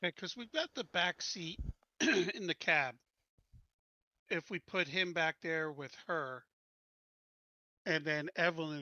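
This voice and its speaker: Bright, higher-pitched man playing a tabletop game. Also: dry recording, muffled recording. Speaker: tabletop roleplaying game player. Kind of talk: casual in-game discussion and planning.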